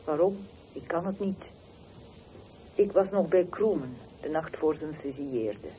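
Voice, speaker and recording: An actress playing a bitter, wounded middle-aged woman on the telephone in a radio play, terse and reproachful with deliberate pauses. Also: deep voice, expressive, mellow. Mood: grieving, intense. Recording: noisy old radio drama recording.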